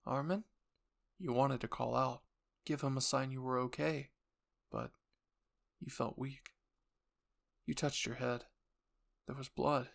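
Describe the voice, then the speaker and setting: A man's voice: medium pitch, steady tone, unhurried, vocal fry, pauses often. A man narrating an audio roleplay story in the second person with an earnest, theatrical delivery.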